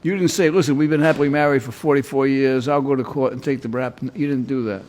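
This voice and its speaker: A man's voice: medium pitch, fairly even intonation, fast talker, a little mellow. An older man speaking as a judge in a courtroom, questioning people in an informal, teasing way and repeating their answers back. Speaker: judge presiding in a municipal court show.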